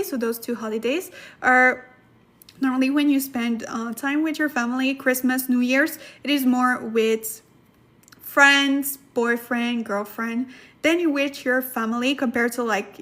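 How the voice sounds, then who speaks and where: High-voiced woman hosting a chatty live lesson. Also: steady tone, normal speed, fairly bright tone. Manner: chatty, laughs often, many fillers. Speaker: Korean language teacher, livestream host. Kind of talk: casual livestream lesson, reading chat comments.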